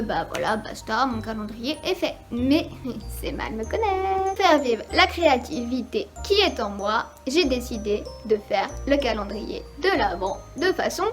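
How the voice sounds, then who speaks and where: A woman showing a craft project in a casual tutorial, chatty and often hesitating with fillers. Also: high voice. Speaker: guest friend.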